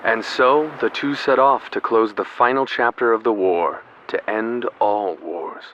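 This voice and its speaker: A young man playing a grave, ominous narrator of a 1940s radio play, portentous and measured. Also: lowish voice, fairly bright tone. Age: young adult. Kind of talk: dramatic scripted narration. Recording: dull radio-style audio, faint noise.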